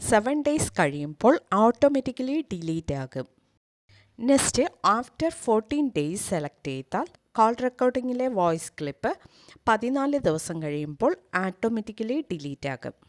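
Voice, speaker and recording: A woman's voice: mid-range voice, darkish tone. A woman with a Malayali accent, hosting a tech tutorial and narrating scripted step-by-step instructions.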